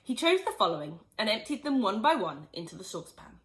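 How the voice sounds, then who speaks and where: A woman teacher reading a children's book aloud in an expressive, animated way. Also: mid-range voice, fast, low-quality recording. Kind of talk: storybook read-aloud.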